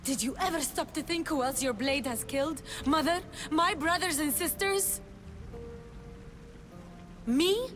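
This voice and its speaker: A resolute young assassin's daughter, wounded and direct. Her high voice rings with long reverb over clearly heard cinematic music, in intense video game drama. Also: a little slow, pauses often. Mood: hurt accusation.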